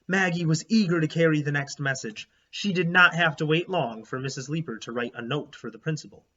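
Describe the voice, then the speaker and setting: Higher-voiced man reading aloud to children. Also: highs cut off. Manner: animated, emphatic storytelling with questions to listeners. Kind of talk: expressive children's read-aloud narration with asides to young listeners.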